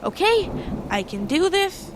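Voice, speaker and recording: A woman's voice: very high-pitched, sing-song, a little slow, background noise, voice pushed up, exaggerated voice. A woman voicing a chatty, eager and nervous young girl adventurer in an audio storybook, rambling and wide-eyed. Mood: curious, determined.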